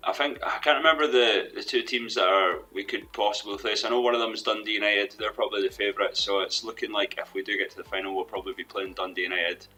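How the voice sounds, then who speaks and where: A young man commentating his own football management game in a rambling, hesitant way, his voice a little hoarse from losing it. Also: on the low side, steady tone, fast talker, hardly any pauses, sharp tone, rough recording. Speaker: gaming commentator. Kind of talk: let's play commentary. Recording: faint background music.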